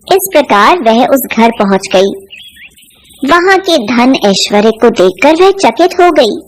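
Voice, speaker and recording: A woman's voice: high-pitched, sing-song, slow pace. A woman narrating a scripted cartoon folk tale as a storyteller.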